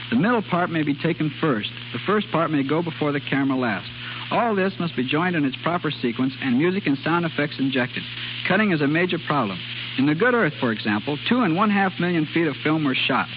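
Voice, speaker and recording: An American man in his twenties giving a scripted explanation as a guest on 1930s radio. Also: on the high side. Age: 20s. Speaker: guest film editor. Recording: dull, noisy 1930s radio recording.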